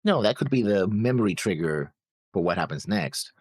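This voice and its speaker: A man's voice: lowish voice, expressive, moderate pace, a little mellow, no echo, studio quality. A man co-hosting a reaction show, casually discussing and questioning, pushing back on points. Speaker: co-host of an anime reaction show.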